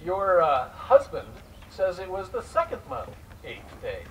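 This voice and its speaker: A man's voice: high voice, wildly swooping pitch, unhurried, heavily acted. A man playing a stern, suspicious immigration interrogator of the 1910s, formal and probing, in a dramatic reading. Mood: suspicious, curt. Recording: distant microphone in noisy live room.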